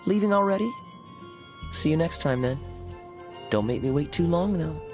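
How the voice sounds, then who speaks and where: An actor playing a suave, teasing young knight in a video game, speaking in a smooth, sly manner. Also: male voice, on the high side, lively intonation, moderate pace, over music, close to an everyday voice. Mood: sly, amused. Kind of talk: video game character voice lines.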